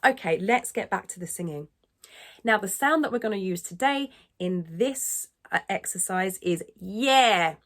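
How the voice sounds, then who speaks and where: A woman singing teacher giving an upbeat, encouraging lesson to camera, now and then dipping into vocal fry. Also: mid-range voice, lively intonation, measured pace. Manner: dips into vocal fry when speaking. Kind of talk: instructional talk to camera.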